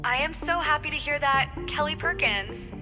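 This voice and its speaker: A woman's voice: sits high, moderate pace, close to an everyday voice. A woman playing a polite, eager colony computer intelligence that speaks in formal, precise, literal phrases. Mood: helpful, precise. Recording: long reverb, loud music behind. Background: music.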